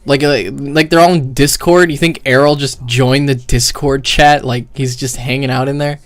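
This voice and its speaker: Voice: medium pitch, steady tone, normal speed, no room sound. Blunt, rambling game streamer, dry close mic. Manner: profane, bluntly opinionated; rambling, heavy use of "like". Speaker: streamer, commentator. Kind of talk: casual game commentary livestream. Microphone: streaming microphone with high gain.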